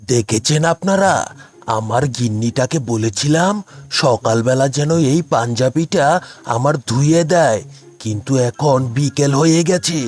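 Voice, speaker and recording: A man's voice: mid-range voice, a little mellow, lightly acted. A man voicing a blustering, put-upon tiger husband in a cartoon, with an emphatic, grumbling manner. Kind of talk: cartoon voice acting. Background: background music.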